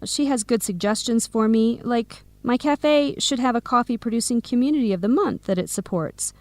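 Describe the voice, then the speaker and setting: Woman doing keen young best friend, kinda high voice, radio drama, really dry sound. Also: moderate pace, no echo, lightly acted. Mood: excited. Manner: clearly phrased scripted explanations. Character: Samira, Helen's enthusiastic American best friend, aspiring business owner. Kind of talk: English-learning radio drama acting.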